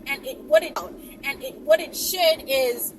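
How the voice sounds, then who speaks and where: A woman's voice: high-pitched, unhurried. A woman talking casually to her viewers in an emphatic, sassy, repetitive way, rambling as she hosts her vlog. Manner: emphatic, sassy, repeats phrases and checks with "okay?". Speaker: vlog host. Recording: distant phone mic, loud vehicle noise.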